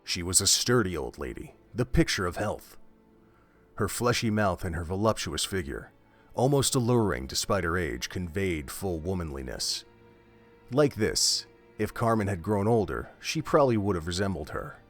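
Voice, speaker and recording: A man narrating a scripted story in a measured, steady way. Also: deep voice. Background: faint music.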